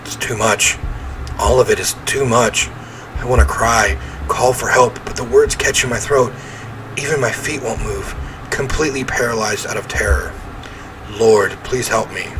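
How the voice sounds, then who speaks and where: A man's voice: medium pitch, fairly even intonation, a little slow, long pauses. Theatrical man narrating over noise. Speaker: game player reading a visual novel aloud. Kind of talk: dramatic read-aloud narration with offhand reactions.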